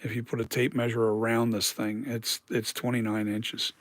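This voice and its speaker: Hoarse man rambling through mask tips. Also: mid-range voice, little pitch movement, moderate pace, no room sound. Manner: rambling, self-correcting, explains practically. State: hoarse, dry voice. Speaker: mask maker streaming live. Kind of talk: casual live-stream chat.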